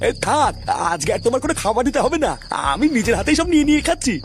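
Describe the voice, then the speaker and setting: A man's voice: very high-pitched, sing-song, very fast, faint music behind. A man voicing a meek, hapless old Bengali husband in a comedy cartoon, plaintive and pleading. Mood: defensive, pleading. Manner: plaintive, pleading, repeats little interjections.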